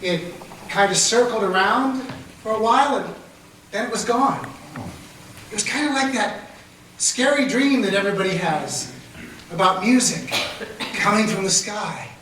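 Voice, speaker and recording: A man acting out a chatty small-town fellow who rambles through a puzzling tale in a high voice. It sounds distant and rings through a very echoey hall, as in a live radio play on stage. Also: unhurried, long pauses, background noise. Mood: puzzled.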